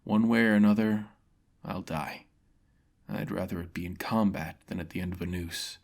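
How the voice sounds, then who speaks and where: A man's voice: deep voice, fairly even intonation, normal speed, vocal fry, darkish tone. A man voicing an honorable, remorseful male mercenary who speaks in a grave, formal, subdued way in a fantasy story. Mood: resigned.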